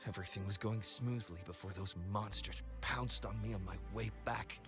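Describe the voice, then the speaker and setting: A man's voice: lowish voice, steady tone, normal speed, over music, lightly acted. An actor playing an injured young male explorer in a game, weak and pleading. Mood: shaken. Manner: pleading, insistent.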